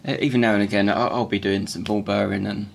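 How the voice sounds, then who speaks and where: Guy with a croaky gravelly morning voice, low and flat, stumbling and restarting as he explains his workshop craft. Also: a little hiss or hum. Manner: halting, with many repeated words and restarts. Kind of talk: casual workshop tutorial voice-over.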